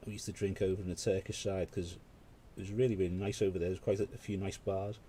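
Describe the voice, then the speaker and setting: A man's voice: low voice, flat delivery, quick pace, warm tone, a little hiss or hum. A British man hosting a paint-along stream, chatting casually with rambling asides. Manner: rambling, conversational, full of asides. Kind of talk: casual chat while painting.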